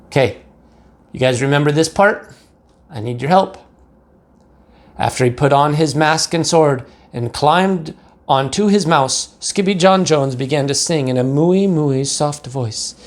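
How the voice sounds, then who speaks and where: A man's voice: on the high side, measured pace. An American man, a pastor, talking simply and directly to young children and narrating a picture book. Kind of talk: children's storybook read-aloud.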